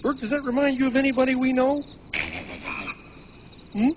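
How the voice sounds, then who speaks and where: A man's voice: very high-pitched, level tone, normal speed, background noise. A man voicing a chatty, eager, pestering puppet camper in a comedy sketch, playful and full of questions. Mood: eager, curious.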